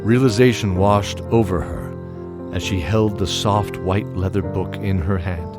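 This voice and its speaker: A man's voice: sits low, steady tone, measured pace, over loud music. A man narrating a scripted fantasy audio drama. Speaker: narrator and cast member.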